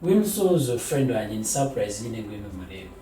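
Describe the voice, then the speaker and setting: A man's voice: on the low side, sing-song, quick pace, warm tone. A man playing an easygoing young student in an online drama. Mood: scolding, coaxing. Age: young adult. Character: easygoing young man teasing his friend and cousin. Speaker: actor in an online drama series. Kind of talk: casual acted dialogue. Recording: very echoey room, distant mic, faint noise.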